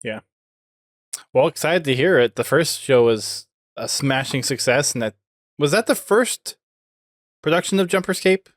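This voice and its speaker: A man's voice: mid-range voice, expressive, a little slow, pauses often, no room sound, clean studio sound. A man hosting a podcast interview, asking questions in a casual, conversational way. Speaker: podcast host.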